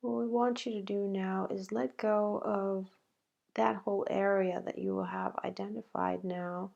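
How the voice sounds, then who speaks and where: A woman leading a guided meditation in a gentle, halting way, with long pauses between phrases. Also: mid-range voice, steady tone, vocal fry, highs cut off.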